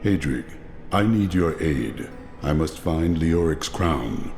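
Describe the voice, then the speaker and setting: An actor playing a grim, resolute male hero in a game, speaking in a solemn, steady way. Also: extremely low pitch, slow, gravelly, soft background music, exaggerated voice.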